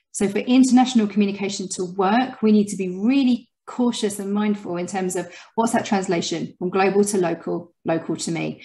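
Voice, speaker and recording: A woman's voice: medium pitch, a little mellow. A woman in her thirties, a communications consultant, giving structured, articulate answers as a podcast guest over a video call from home. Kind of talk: conversational interview answers. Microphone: wired earphone mic on video call.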